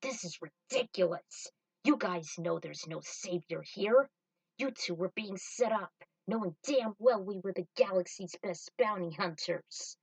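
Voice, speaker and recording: A woman's voice: mid-range voice, a little slow, vocal fry, no room sound, recorded from a distance, rough recording. A woman voicing a defiant bounty hunter hero in a story, indignant and emphatic.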